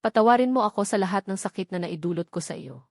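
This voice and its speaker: A woman with a Filipino accent voicing a gentle, remorseful elderly mother in a romance story. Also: mid-range voice, expressive, fast talker, no room sound, clean studio sound. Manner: gentle, apologetic.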